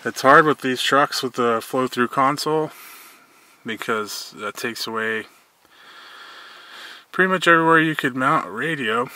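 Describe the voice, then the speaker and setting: An American man talks through a hands-on job in a casual, laid-back vlog style. His voice is bright and forceful at an average male pitch. The handheld outdoor recording carries faint background noise. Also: a little slow, pauses often. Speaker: vlogger, mobile welder. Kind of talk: casual vlog walk-through of a truck install.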